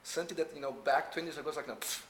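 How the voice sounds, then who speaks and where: A man's voice: on the high side, very fast, fairly bright tone. A middle-aged man with a Brazilian accent, a native Portuguese speaker, talking conversationally and telling stories on a panel. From southern Brazil. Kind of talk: informal panel discussion, storytelling. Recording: roomy echo, faint background noise.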